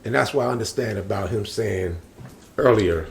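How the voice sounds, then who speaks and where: A man's voice: on the low side, normal speed, vocal fry. Man chatting casually over faint room noise. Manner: colloquial, repeats himself to make a point. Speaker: podcast co-host. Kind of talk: casual banter and opinion.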